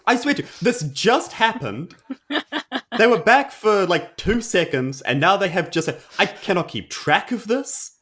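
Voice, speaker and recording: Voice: medium pitch, very animated, unhurried, fairly bright tone. A podcast player, bantering casually in a tabletop game with comic exasperation.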